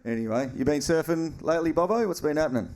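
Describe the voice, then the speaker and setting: A man's voice: fairly high pitch, fast, a little mellow. Chatty podcast host man. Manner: chatty, teasing, slangy. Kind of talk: casual banter on a live podcast.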